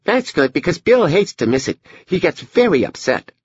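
A man voicing a calm, self-possessed, earnest seven-year-old girl in an audiobook story. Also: on the high side, moderate pace, breathy edge, dry recording, highs cut off, pitched above the performer's own voice. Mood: calm, poised.

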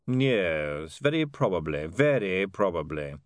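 A man's voice: sits low, sing-song, measured pace, dry recording, clean studio sound. A man voicing a pompous older lord in an audiobook, baffled, testy and easily led, with a blustering, dithering manner. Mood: flustered.

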